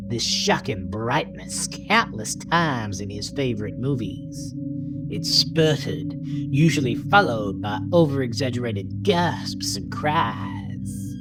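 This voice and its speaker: A man narrating a horror story in a theatrical, deliberate, brooding way, as scripted audio drama. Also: medium pitch.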